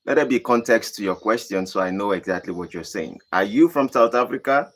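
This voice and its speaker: A Nigerian man with a lowish voice speaks deliberately to his live audience. He leans hard on key words, sometimes jumping up high for emphasis. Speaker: channel host. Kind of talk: live stream answering viewer questions.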